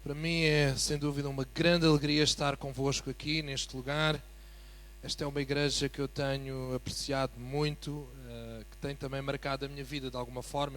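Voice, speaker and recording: A man with a European Portuguese accent preaching as a guest in a church, building from a quiet greeting to fervent emphasis. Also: medium pitch, a little hiss or hum. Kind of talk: sermon.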